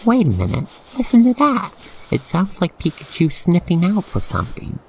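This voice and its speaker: A man guiding the listener through an ASMR roleplay in expressive, theatrical, wordy storytelling narration. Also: high-pitched, normal speed, vocal fry, a little hiss or hum, low-quality recording.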